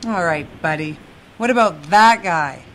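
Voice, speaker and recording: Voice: mid-range voice, measured pace, a bit bright, a little hiss or hum, natural voice. An actor playing a pushy police officer who questions people in a commanding, impatient way.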